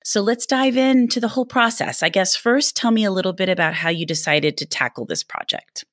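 A woman's voice: medium pitch, lively intonation, brisk, a bit bright, no room sound, clean studio sound. A woman hosting a podcast, speaking in a presenter's interview and introduction style. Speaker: podcast host. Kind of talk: scripted intro and book interview.

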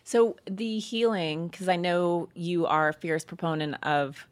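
Lady interviewer with a low voice, casual chat, rambly questions, really dry sound. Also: fairly even intonation, a little slow, no echo. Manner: tentative, rambling phrasing with restarts.

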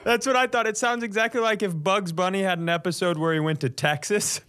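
Higher-voiced man telling comic stories. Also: fairly even intonation, quick pace, noisy background. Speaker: podcast host. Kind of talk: casual comedic chat.

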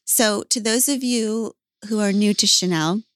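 A woman hosting a podcast, talking in an animated, emphatic way and swearing casually. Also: medium pitch, a little slow, smooth, no echo. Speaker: podcast host. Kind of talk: casual interview chat.

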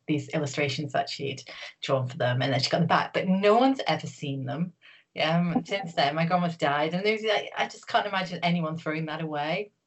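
Low-voiced woman author, rambling. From Newcastle, England. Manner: rambling, many fillers and restarts. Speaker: author guest. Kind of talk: casual interview talk.

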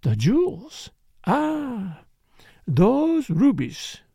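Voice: medium pitch, wildly swooping pitch, measured pace, vocal fry, mellow, no echo, studio quality, pitched above the performer's own voice, heavily acted. A narrator voicing a vehement, scheming foreign woman dancer in an audiobook, with emphatic and formal, stilted phrasing. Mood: dreamy. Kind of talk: audiobook character dialogue.

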